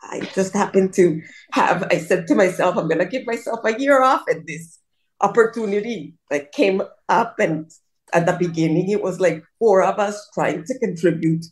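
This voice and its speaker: A woman's voice: medium pitch, normal speed. A middle-aged woman from Colombia, telling her story in a casual interview and rambling with many restarts and self-deprecating asides, over a video call. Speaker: guest.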